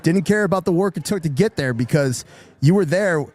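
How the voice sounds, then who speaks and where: A man's voice: on the high side, expressive, fairly quick, darkish tone, dry recording. A man hosting a casual podcast, asking long rambling questions full of restarts. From Western New York. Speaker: podcast host.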